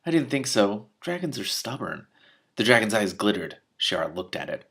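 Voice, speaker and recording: A man reading a story aloud expressively, adding his own excited commentary. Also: fairly low pitch, normal speed, breathy edge. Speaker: book reader and commentator. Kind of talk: animated read-aloud with commentary.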